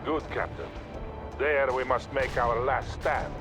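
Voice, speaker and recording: A man's voice: medium pitch, fairly even intonation, measured pace, music in the background, lightly acted. A man playing a stern, composed Soviet field commander with a Russian accent, giving clipped, formal orders in a military video game. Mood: grave resolve. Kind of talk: scripted video game military radio dialogue. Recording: loud battle noise behind. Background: artillery fire, explosions and gunfire.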